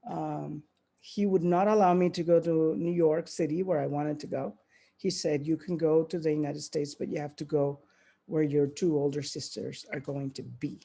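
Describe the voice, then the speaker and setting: A woman's voice: sits low, warm tone. A woman from Puerto Rico giving a reflective keynote talk over a video call, with many fillers and restarts. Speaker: keynote speaker.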